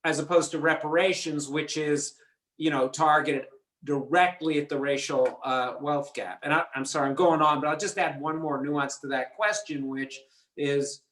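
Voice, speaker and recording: Guy rambling through a question, lots of you knows, higher voice, echoey room. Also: fairly even intonation, normal speed, fairly bright tone. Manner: rambling, hesitant, full of "you know" and restarts. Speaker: audience member asking a question.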